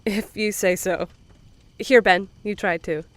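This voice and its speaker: A woman's voice: medium pitch, steady tone, unhurried, no echo, natural voice. A woman playing a serious, cautious young female camp counselor in an audio drama, earnest and plainspoken. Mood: tentative.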